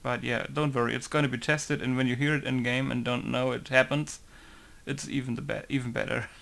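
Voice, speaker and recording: A man with a mid-range voice talks through a game modding tutorial in a loose, casual way. He thinks out loud as he goes and keeps leaning on the same filler words. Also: mid-range voice, level tone, brisk, gravelly. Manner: rambling, thinking aloud, leans on "basically" and "right?". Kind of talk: casual screen-recorded tutorial.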